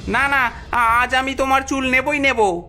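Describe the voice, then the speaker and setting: A woman's voice: high-pitched, fairly even intonation, unhurried, sharp tone. A woman voicing a mischievous, greedy young goatherd boy who schemes and whines, in a cartoon fairy tale. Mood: gleeful scheming. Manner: scheming, whining, complaining.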